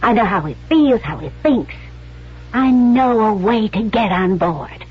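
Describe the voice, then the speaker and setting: A cunning, determined female spy in an old radio drama. Her voice is fairly high and a little husky, speaking with persuasive resolve on a muffled vintage recording. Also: expressive, measured pace, faint music behind, exaggerated voice. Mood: determined, scheming. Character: bold, cunning female actress turned Civil War spy.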